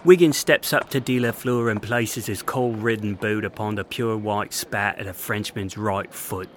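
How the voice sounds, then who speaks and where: A man's voice: on the low side, normal speed, slight background noise. A man narrating an audio drama in a florid, theatrical storytelling manner. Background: music.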